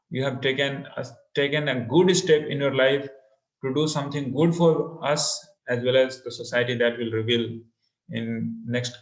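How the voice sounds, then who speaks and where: An Indian-accented man, a native Hindi speaker, leads an interactive online class. He speaks in an encouraging way and often repeats himself. His mid-pitched voice carries clear room echo from an online call. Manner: mixes English and Hindi, repeats phrases, encouraging. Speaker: online course instructor. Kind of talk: interactive webinar presentation.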